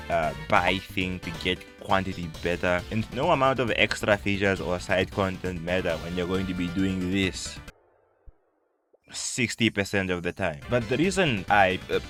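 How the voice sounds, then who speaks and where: A young man with a low voice delivers scripted video commentary. He slips in casual asides and sounds wry and self-aware. Music plays clearly behind him. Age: young adult. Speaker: YouTube video essayist.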